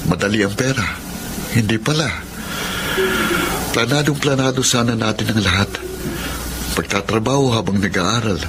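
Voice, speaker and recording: A man's voice: lowish voice, moderate pace, pauses often, lightly acted. An actor with a Filipino accent playing a homesick, doubtful young working man in a radio drama. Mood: reflective. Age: young adult. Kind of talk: Tagalog radio drama acting. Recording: noisy radio drama recording with ambience.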